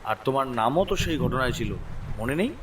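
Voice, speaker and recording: A man's voice: medium pitch, animated, fairly quick, recorded from a distance, noisy background, lightly acted. A man playing an older village tea-stall keeper in a horror cartoon, speaking in a fatherly, cautioning and mysterious way. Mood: worried, cautioning.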